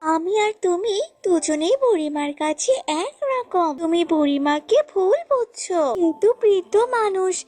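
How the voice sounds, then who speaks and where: A tiny, innocent baby snake from a children's cartoon. The voice is extremely high and childlike, gentle and pleading, over background music. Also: female voice, animated, unhurried. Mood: gentle, hurt. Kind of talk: cartoon voice acting in a children's fable. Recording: music bed behind the voice.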